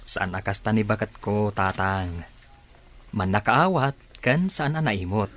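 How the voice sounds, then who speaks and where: A man's voice: fairly low pitch, a little slow, fairly bright tone. A man playing a caring, generous grown son in a radio drama, respectful and solicitous toward his parents. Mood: concerned, caring. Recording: clear background ambience noise.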